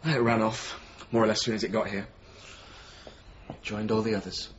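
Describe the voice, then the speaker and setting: An actor playing a bitter, wounded man in a radio drama, with blunt speech and a husky voice. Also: on the low side, flat delivery, normal speed, lo-fi sound, very noisy, natural voice. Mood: blunt, dismissive. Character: bitter, blunt former companion of a painter. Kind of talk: naturalistic radio drama acting.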